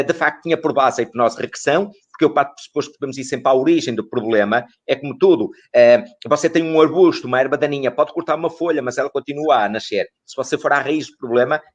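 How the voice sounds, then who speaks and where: A middle-aged man, explaining as an expert guest in an interview, in a didactic way with rhetorical questions and repeated phrases. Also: mid-range voice. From northern Portugal.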